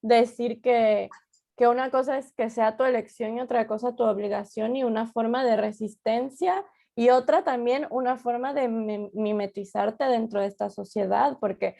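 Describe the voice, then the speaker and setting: A woman with a fairly high voice full of gravelly fry and a mellow, dark tone. She hosts the conversation in an affirming, empathetic way and keeps adding short words of agreement. Her flows easily. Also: moderate pace. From Ecuador. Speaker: host. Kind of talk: conversational interview.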